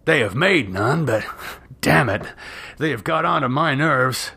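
A man voicing an excited, uneasy sentinel in an Old West party, agitated and rough-spoken, in a storybook reading. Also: mid-range voice, a little slow, voice pushed up, heavily acted. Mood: excited, unnerved.

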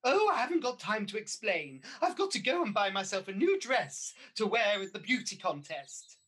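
A woman's voice: medium pitch, big pitch swings, moderate pace, fairly bright tone, husky. A woman playing a vain, haughty pantomime mother with an exclamatory, theatrical delivery, in a radio panto. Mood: haughty, scornful. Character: vain, haughty pantomime dame-mother, newly young and beautiful.

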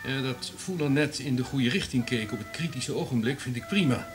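An actor playing a wary, calculating subordinate in a radio play, deferential but insistent. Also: male voice, medium pitch, brisk, music in the background, natural voice.

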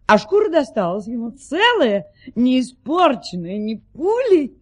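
An actress playing a poised aristocratic duchess, telling tall tales in a stately, genteel manner in an audio play. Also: female voice, high-pitched, sing-song, measured pace, slightly rough, exaggerated voice. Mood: poised, savouring.

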